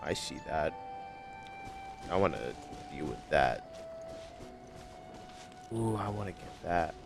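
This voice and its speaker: A man's voice: low-pitched, animated, slow, creaky, over music. A man doing casual gameplay commentary, muttering and humming filler to himself as he streams. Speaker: game streamer.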